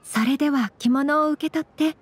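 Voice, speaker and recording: An actress playing a polite, refined young noblewoman in an anime game, speaking gently and very formally. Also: sits high, normal speed, faint music behind, lightly acted. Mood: polite, admiring. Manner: very formal honorific speech, composed and courteous. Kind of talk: Japanese anime game voice acting.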